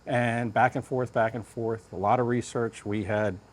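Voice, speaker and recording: Deliberate man in a conversational interview. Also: medium pitch, fairly even intonation. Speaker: fire lieutenant being interviewed.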